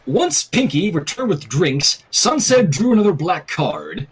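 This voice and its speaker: A husky-voiced man reads fiction aloud for a stream. His pitch sits a little high and swings widely as he moves between narration, acted dialogue and casual asides. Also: takes it slowly, low-quality recording. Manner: expressive, acts out dialogue while narrating. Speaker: fanfic reader and stream co-host. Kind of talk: animated storytelling read aloud with casual chat.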